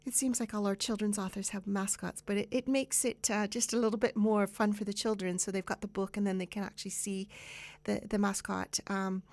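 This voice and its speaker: Casting: Canadian woman as chatty radio presenter, some vocal fry, unscripted and informal, dry recording. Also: mid-range voice, flat delivery, brisk, darkish tone, no room sound. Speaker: radio show host.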